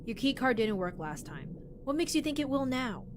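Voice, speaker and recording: A woman's voice: high-pitched, very animated. A woman playing a brash, sardonic getaway driver who also narrates, in a wry manner, in a sci-fi audio drama. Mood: hesitant. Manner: wry, quick retorts, exasperated. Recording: clear background noise with sirens and vehicles.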